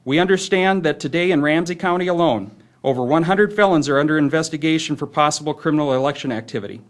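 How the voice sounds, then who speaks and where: A man speaking as host of a press conference, reading a prepared statement in a formal, halting way. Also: on the high side.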